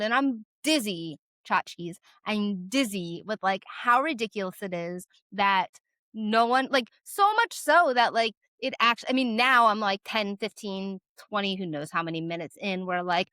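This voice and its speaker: A woman in her twenties hosting her own vlog, talking casually and rambling with lots of filler words and sudden lifts in pitch for emphasis. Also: no echo. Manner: animated, jumps up in pitch for emphasis. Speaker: vlogger host. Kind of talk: casual talk to camera.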